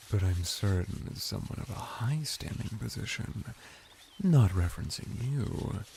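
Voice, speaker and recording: Man playing a deep, slow, gravelly seductive merman. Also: slight background noise, exaggerated voice. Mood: teasing, discreet. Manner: soft-spoken, intimate, formal flattering speech with lingering pauses. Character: flirty, calm male merman bathhouse attendant, courtly and seductive. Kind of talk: ASMR roleplay addressed to the listener.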